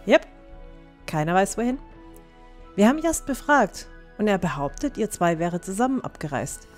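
A woman's voice: mid-range voice, big pitch swings, normal speed, faint music behind, close to an everyday voice. A woman playing a blunt, plain-spoken young adventurer in a fan-made fantasy audio drama.

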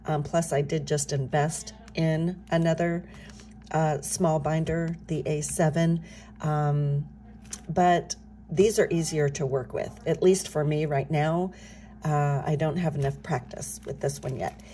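Soft-spoken middle-aged woman hosting a budgeting vlog; low, mellow, slightly scratchy morning voice. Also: fairly even intonation, unhurried. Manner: soft-spoken, talking softly. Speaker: budgeting channel host. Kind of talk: casual chat while stuffing cash envelopes. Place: home desk, indoors.

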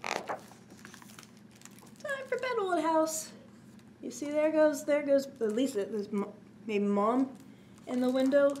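A middle-aged woman hosting story time, reading to young children with expressive, simple phrasing. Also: high-pitched, slow, long pauses, a little hiss or hum. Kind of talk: children's read-aloud narration.

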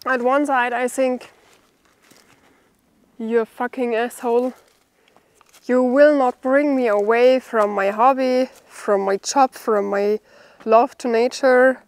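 Slow-talking young German-accented woman. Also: fairly high pitch, steady tone, no echo. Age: young adult. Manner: halting, with pauses mid-sentence. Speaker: bushcraft vlogger. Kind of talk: unscripted vlog talk to camera. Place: outdoors in a forest.

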